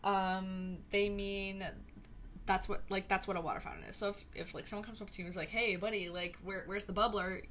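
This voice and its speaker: A woman's voice: medium pitch, steady tone, fairly quick, fairly bright tone. Young woman host, solo podcast, loose rambly delivery full of fillers, faint background noise. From Chicago area. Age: young adult. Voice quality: rambling with many fillers and tangents. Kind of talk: casual solo podcast chat.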